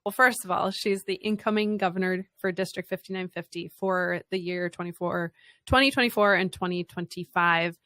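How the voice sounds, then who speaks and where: A woman's voice: on the low side, steady tone, moderate pace, bright, forceful tone, dry recording. A woman co-hosting a studio podcast in casual chat, laughing often and restarting her sentences. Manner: giggly, chatty, trails off and restarts often. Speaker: podcast co-host.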